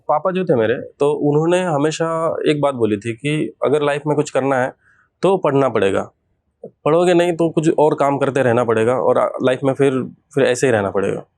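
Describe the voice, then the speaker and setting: A man's voice: medium pitch, a little mellow, dry recording. Man in his thirties, dry sound. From rural Maharashtra, India. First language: Marathi. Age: 30s. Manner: earnest, Hindi mixed with English words. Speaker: interview guest. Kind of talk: personal storytelling in an interview.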